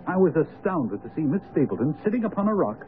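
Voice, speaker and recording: An actor playing an earnest, courteous English doctor in a radio mystery. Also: male voice, fairly high pitch, very animated, fast. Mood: narrating. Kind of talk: radio drama acting and narration. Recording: narrow-band old radio broadcast, music behind. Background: music.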